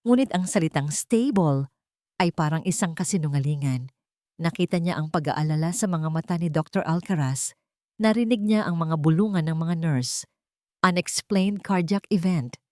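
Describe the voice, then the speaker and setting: A woman's voice: low-pitched, normal speed, warm tone, dry recording, clean studio sound. A woman with a Filipino accent narrating a scripted dramatic story.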